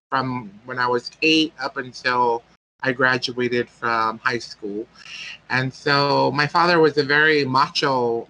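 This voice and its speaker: A man's voice: mid-range voice, bright, forceful tone, rough recording. A man, telling a personal story as a guest speaker and often searching for words. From American Samoa.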